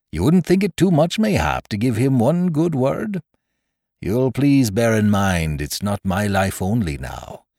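A man reading aloud and voicing a pleading old male pirate in rough seaman's dialect, with a trembling voice. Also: fairly low pitch, a little mellow, dry recording, studio quality, heavily acted. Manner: wheedling, trembling, earnest.

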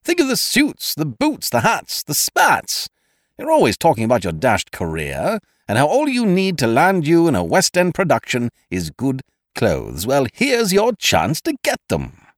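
A man's voice: fairly high pitch, huge pitch swings, unhurried, no echo, clean studio sound, voice pushed up, exaggerated voice. A man voicing a boisterous, pushy young schemer who talks others into his plans with hearty bluster. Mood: coaxing. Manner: blustering, persuasive, calls others laddie and old horses.

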